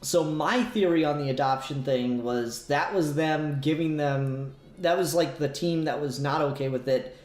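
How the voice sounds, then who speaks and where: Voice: medium pitch, normal speed, hardly any pauses, a little mellow, faint music behind. A podcast co-host in casual comic book discussion, talking emphatically, repeating words and swearing. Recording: roomy, echoey sound.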